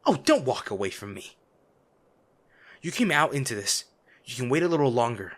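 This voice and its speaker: A man acts out a noble lady's bodyguard in a fantasy audio roleplay. He is a man with a medium-pitched voice, blunt and exasperated. His delivery is emotional and protective, swinging between scolding and anxious care. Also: very animated, normal speed. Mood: angry, scolding. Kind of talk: dramatic fantasy audio roleplay addressed to the listener.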